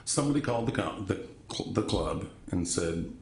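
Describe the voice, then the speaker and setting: A man with a deep voice testifying as a witness in court, halting and polite, often restarting sentences. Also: lively intonation, vocal fry, echoey room. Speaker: trial witness.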